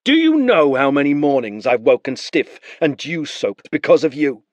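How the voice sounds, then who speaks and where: A man's voice: a little high, very animated, normal speed, dry recording, highs cut off, voice pushed up. A man voicing a harsh, vengeful captain who gives commands. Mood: commanding. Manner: commanding, bitter.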